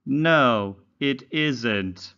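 A man's voice: mid-range voice, expressive, very slow, bright, forceful tone, clean tone, muffled recording. A man teaching young children in a read-along lesson, enunciating carefully with exaggerated, instructional intonation. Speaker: English teacher for young learners.

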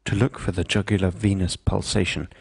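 A man reading scripted medical instruction in a measured, precise, impersonal manner. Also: low voice, little pitch movement, vocal fry, a little mellow, husky, dry recording. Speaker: instructional narrator.

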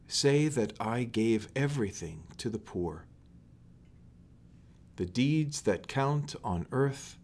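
A middle-aged man reads a children's story aloud in a measured, deliberate way, recorded indoors in a small room. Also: on the low side.

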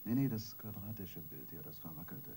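An actor playing a seasoned, sardonic police detective in a radio crime drama, talking colloquially and dryly. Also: male voice, sits low, lively intonation, fairly quick, warm tone, slight background noise. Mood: musing. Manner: colloquial, dry, musing, with tag questions.

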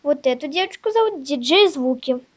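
A female host chatting casually in a toy unboxing video, showing things step by step in a simple, halting way. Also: sits high, lively intonation. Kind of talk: casual unboxing vlog chat.

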